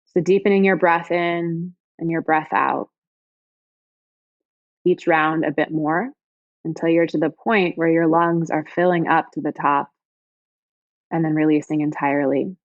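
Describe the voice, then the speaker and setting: Female meditation guide, lower register, soothing and unhurried, very dry and somewhat muffled lo-fi sound. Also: fairly even intonation, no room sound. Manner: soothing, gentle, unhurried phrasing with pauses. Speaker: yoga teacher guiding meditation. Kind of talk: guided body scan meditation.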